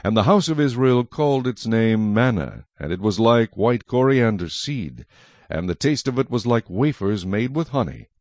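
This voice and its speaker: A man narrating scripture from a script as a solemn, measured storyteller. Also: fairly low pitch, animated, darkish tone, no echo.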